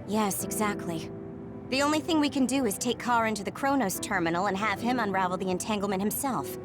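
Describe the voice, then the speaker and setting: A woman's voice: mid-range voice, a little fast, music in the background, natural voice. A woman playing an analytical, decisive young soldier in a dubbed video game cutscene.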